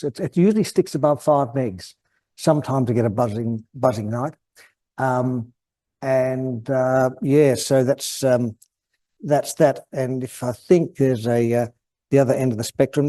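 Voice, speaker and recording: Mellow-voiced Australian man, halting delivery. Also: mid-range voice. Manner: hesitant, halting, thinking aloud. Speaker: guest presenter at an amateur radio club. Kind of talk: informal technical presentation.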